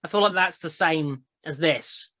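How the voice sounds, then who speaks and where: A woman's voice: low voice, a little slow, pushed and bright, dry recording. A young woman, hosting a crude casual chat podcast, blunt and giggly as she rambles. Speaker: podcast host.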